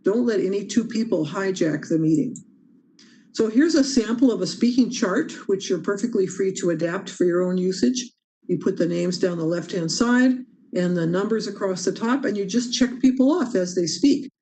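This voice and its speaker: A woman's voice: medium pitch, moderate pace, dark tone, a little hiss or hum, poor audio. A woman presenting a training session on meeting procedure over a video call, earnest and emphatic as she stresses key rules. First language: English. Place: home or office on a video call. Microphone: video call microphone.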